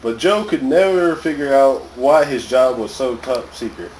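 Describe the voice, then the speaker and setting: A man's voice: mid-range voice, moderate pace, darkish tone, close to an everyday voice. A man playing a bumbling, overeager government agent in an amateur comedy skit. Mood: intrigued, self-important. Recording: echoey room with faint hiss.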